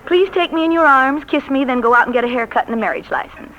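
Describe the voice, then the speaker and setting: A woman's voice: high-pitched, fast, a crowd in the background, lightly acted. An actress playing a teasing, self-possessed lady novelist in a 1940s radio romance. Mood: tender, playful. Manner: coy, playful. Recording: dull, noisy vintage radio recording.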